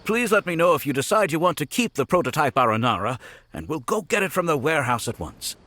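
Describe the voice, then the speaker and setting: A man voicing a friendly, eager-to-please shopkeeper in a video game, courteous and expressive. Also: on the high side, quick pace. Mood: grateful, flustered. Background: music.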